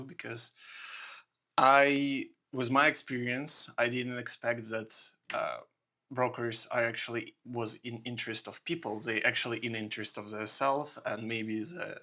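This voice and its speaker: Bright-voiced host, rambling and chuckling. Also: male voice, medium pitch, gravelly. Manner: rambling, laughs often, loose grammar. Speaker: podcast host. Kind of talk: podcast interview.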